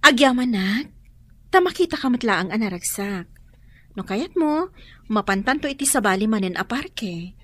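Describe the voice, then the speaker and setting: A woman's voice: a little high, lively intonation, normal speed, pauses often, fairly bright tone. A woman playing a sweet, encouraging, deferential companion to her boss in an Ilocano radio drama.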